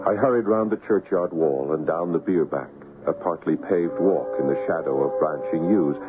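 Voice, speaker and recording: An actor playing an uneasy American husband in a radio drama, expressive and a little hesitant. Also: male voice, low-pitched, normal speed, natural voice. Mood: tense, foreboding. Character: uneasy American husband, narrating his own eerie tale. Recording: muffled, tinny 1970s radio, music behind.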